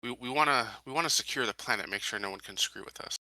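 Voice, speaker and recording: A man's voice: medium pitch, fast, pushed and bright, no room sound, rough recording. A man chatting casually as a player in a tabletop game. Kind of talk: casual chat.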